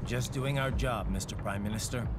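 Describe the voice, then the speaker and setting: An actor playing a stoic, philosophical mercenary bodyguard in a video game, calm, terse and solemn. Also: male voice, fairly low pitch, moderate pace, very noisy, natural voice. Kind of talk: cinematic video game dialogue. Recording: loud music behind.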